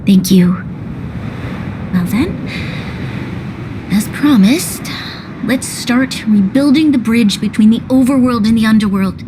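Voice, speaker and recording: An actress playing a grave, dutiful young woman leader in a video game cutscene, speaking in a solemn, formal, measured way. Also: on the high side, noisy background. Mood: solemn, determined. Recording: orchestral music behind the voice.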